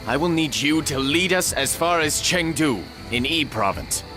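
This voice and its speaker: A man's voice: fairly high pitch, lively intonation, normal speed, music in the background, lightly acted. A man playing a noble, earnest warlord in a dramatic video game, speaking with solemn courtesy and deliberation. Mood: solemn resolve.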